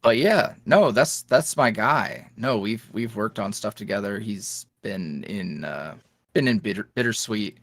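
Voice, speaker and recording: A man's voice: lowish voice, unhurried, gravelly, no echo, studio quality. A man who makes ASMR roleplays, chatting loosely as a podcast guest, often trailing off and laughing. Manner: loose and animated, trailing off and restarting, laughing between thoughts. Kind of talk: casual interview chat.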